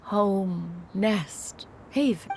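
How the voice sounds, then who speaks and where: Lofty game immortal: stately and archaic, unhurried, some gravel, caring beneath the austerity. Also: female voice, medium pitch, darkish tone, a little hiss or hum. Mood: solemn, measured. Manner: formal, archaic, stately diction. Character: lofty, austere, caring adeptus master woman. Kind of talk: dramatic video game voice acting.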